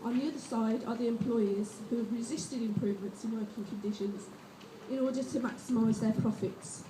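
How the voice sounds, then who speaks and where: A woman's voice: a little high, brisk, reverberant, recorded from a distance, background noise. A woman reading a prepared speech at a rally and introducing the next speaker. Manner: steady, reading from notes. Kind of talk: read-out speech.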